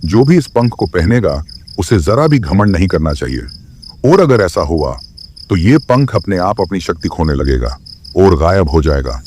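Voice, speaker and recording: A man playing a stern, wise old owl guarding its territory in a children's cartoon, speaking in measured warnings. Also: deep voice, sing-song, a little mellow, a little hiss or hum. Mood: grave, warning. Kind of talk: Hindi children's cartoon dialogue.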